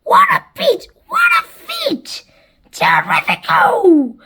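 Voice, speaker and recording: A woman voicing a fat, greedy, excitable aunt from a children's story, with shouted, gushing, raspy exclamations. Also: very high-pitched, slow, voice pushed up, heavily acted. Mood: gushing delight.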